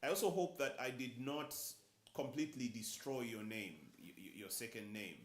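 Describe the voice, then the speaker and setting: A man from Uganda with a Luganda-influenced accent, moderating a press conference and formally introducing panelists with a measured delivery and some fillers. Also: medium pitch, reverberant. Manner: measured, reads bios with fillers.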